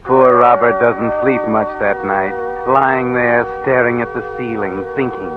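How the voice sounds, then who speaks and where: An actor playing a brooding, taunting narrator of a 1940s radio crime thriller, ominous and insinuating. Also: male voice, mid-range voice, close to an everyday voice. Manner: ominous, insinuating second-person address. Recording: tinny 1940s radio recording, loud music.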